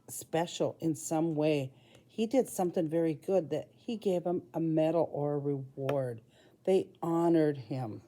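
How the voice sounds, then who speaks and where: Low, mellow woman reading for young children. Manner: simple, deliberate explaining and reading in short phrases. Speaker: preschool teacher reading a storybook. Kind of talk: read-aloud storytime for young children.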